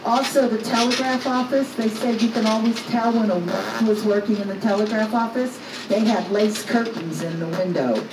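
A woman tour guide speaks from some distance away, her voice carrying with a hollow echo. Loud outdoor noise from a moving tram sits on top of the recording. She narrates brightly, tosses in jokes and quizzes the riders. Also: fairly high pitch, steady tone, moderate pace. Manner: upbeat, jokey, quizzes riders. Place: open-air tram ride outdoors. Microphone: recorder's phone, guide some distance away.

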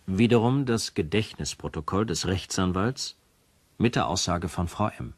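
An actor playing a formal presenter who narrates between case documents in a radio play. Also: male voice, deep voice, animated, moderate pace, slightly rough, no room sound. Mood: formal, weighty.

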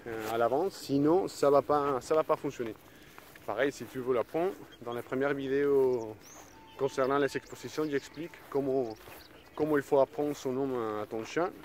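A man's voice: mid-range voice, darkish tone. Man teaching dog walking outdoors. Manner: hesitant, many restarts and fillers. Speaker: dog trainer. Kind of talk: casual spoken tutorial. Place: outdoors in a grassy park. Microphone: phone held at arm's length. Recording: faint outdoor background noise.

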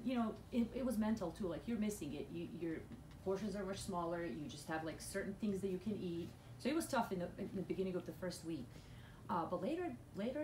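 A woman's voice: on the low side, darkish tone. A woman in her thirties from Bosnia, a guest chatting candidly on a radio show in a studio, with lots of fillers and restarts. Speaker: weight loss client guest. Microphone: boom mic, seen from afar. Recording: echoey studio, far camera mic, noisy.

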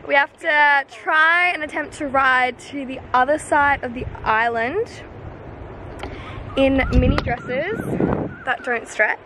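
A bubbly young woman talks straight into a camera she holds at arm's length, her voice sitting a little high. She is chatty and quick to laugh. Noisy outdoor camera sound runs under her voice. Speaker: vlogger. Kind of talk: casual travel vlog to camera. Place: tropical resort, outdoors and hotel room. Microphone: camera held at arm's length.

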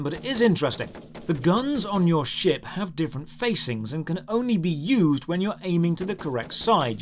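A man's voice: high voice, slight background noise. A man narrating a scripted video game review in a sarcastic, theatrically exasperated manner.

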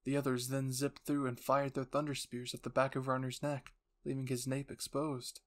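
A man narrates a dramatic scripted tale. His voice sits at a medium male pitch, and he delivers it as a storyteller. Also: flat delivery, normal speed. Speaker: narrator and voice actor.

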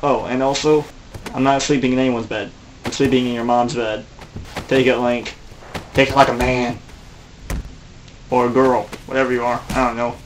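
A man's voice: medium pitch, level tone, normal speed, pauses often, a little hiss or hum, lightly acted, low-quality recording. A man voicing a wisecracking male antihero who talks in a flippant, teasing way. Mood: taunting.

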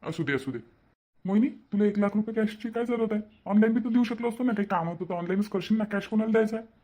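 A man's voice: high-pitched, fast, dull audio, a little hiss or hum, close to an everyday voice. A man voicing an easygoing, moody young brother-in-law in a cartoon, casual and repetitive, in Varhadi-accented Marathi. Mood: obliging. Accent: Varhadi Marathi, Vidarbha. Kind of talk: amateur cartoon voice-over.

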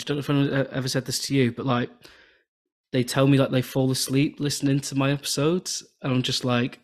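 An English man in his thirties from southern England, hosting a podcast over a video call with a soothing, relaxed cadence. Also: medium pitch. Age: 30s. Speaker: podcast host. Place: home room with shelves and lights. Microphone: podcast microphone on video call.

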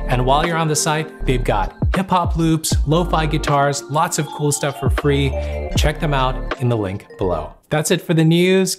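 Man, thirties, mid-pitched, music tech host, casual reviewer, home studio. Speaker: host of a music gear news show. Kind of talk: scripted news narration and casual product review.

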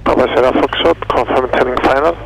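Male atc guy on the radio, monotone n creaky, clipped clearances, bit of hum. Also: mid-range voice, fast talker. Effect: aviation radio. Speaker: air traffic controller. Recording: radio transmission with background hum.

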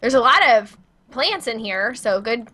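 A woman's voice: a little high, expressive, measured pace, sharp tone. A woman with a Midwestern American accent, chatting casually as she streams a co-op video game. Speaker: gaming streamer. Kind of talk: casual co-op gameplay chat.

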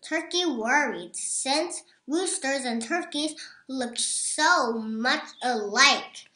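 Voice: sits high, slow, bright, forceful tone. A six-year-old child reading a picture book aloud, word by careful word. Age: child, six years old. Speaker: young storybook reader. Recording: roomy echo.

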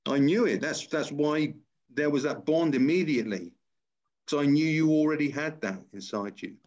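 A middle-aged man with a slightly high voice talks over a dull, lo-fi video call. He is an encouraging coach in casual chat, and he repeats key phrases to drive them home. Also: normal speed, darkish tone. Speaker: personal trainer and interviewer. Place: small room indoors. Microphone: computer on a video call.

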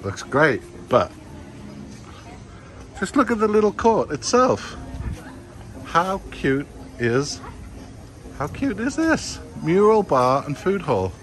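A man's voice: on the high side, huge pitch swings, slow pace, pauses often, a bit bright. A man narrating a walking tour of a food court, exclaiming with enthusiasm over the dishes. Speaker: vlogger touring a food court. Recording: noisy outdoor street recording.

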